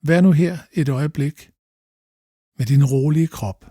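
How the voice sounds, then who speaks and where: A man guides a meditation slowly and calmly, giving gentle instructions with long pauses. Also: on the high side, dry recording, clean studio sound.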